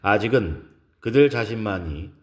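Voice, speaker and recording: A man narrating a romantic audio drama in a scripted, slow and solemn style, with measured pauses. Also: on the low side, lively intonation.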